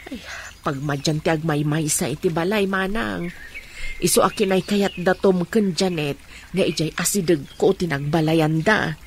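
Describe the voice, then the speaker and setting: An actress playing a caring, practical middle-aged mother in an Ilocano radio drama, with a husky voice. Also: lowish voice, moderate pace, slight background noise, lightly acted. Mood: concerned.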